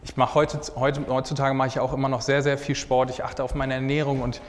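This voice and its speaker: A man's voice: medium pitch, little pitch movement, fairly quick. Young male preacher in his twenties, earnest and casual, church hall with long reverb. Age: 20s. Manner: earnest, casual, often says "hey". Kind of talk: sermon with personal testimony. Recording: hall reverb, faint background noise.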